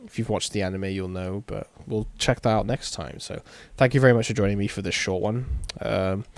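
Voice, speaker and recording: A man with a fairly low voice hosts a visual novel playthrough. He sounds tired, with a sore throat, and talks casually to viewers. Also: steady tone, a little fast, a little mellow, no echo. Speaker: video game streamer. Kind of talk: reading a visual novel aloud, casual commentary.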